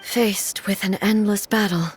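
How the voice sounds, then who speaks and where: An actress playing a solemn, resolute female ruler deity in a video game, speaking formally, earnestly and in measured phrases. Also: medium pitch, steady tone, a little hiss or hum, close to an everyday voice. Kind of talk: scripted video game dialogue acting. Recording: game music behind the voice.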